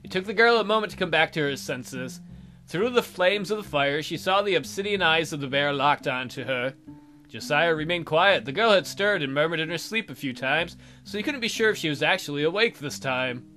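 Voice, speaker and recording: Bright-voiced man narrating a visual novel. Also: on the high side, animated, a little fast, soft background music. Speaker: let's play host. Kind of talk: reading a visual novel aloud with dramatic narration and joking asides.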